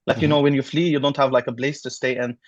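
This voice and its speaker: A man from Syria, a native Arabic speaker with a Syrian Arabic accent, giving a conversational personal account as a podcast guest in halting English. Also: mid-range voice, level tone, dry recording, muffled recording. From Damascus, Syria. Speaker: podcast guest, app designer.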